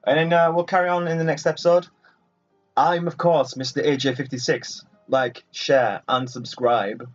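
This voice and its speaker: A man hosts a casual game playthrough with a playfully boastful delivery. His voice sits at a middling pitch and sounds dull and set back from the microphone. Music plays behind him as he talks. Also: flat delivery, normal speed. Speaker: gaming video host. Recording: muffled, distant mic over game music.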